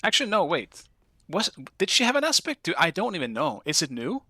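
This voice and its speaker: Danish man, game streamer, bright forceful tone, casual and curious, dry close sound. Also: high-pitched, wildly swooping pitch, a little fast, dry recording. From Denmark. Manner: chatty, curious, swears casually, talks to chat. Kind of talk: casual live-stream chat while playing.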